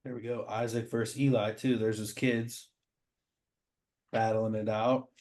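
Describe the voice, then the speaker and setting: A man's voice: on the low side, flat delivery, unhurried, a little mellow. A man hosting a show, chatting casually and admiringly as he comments on comic art. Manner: admiring, informal, says "man" often. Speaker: show host.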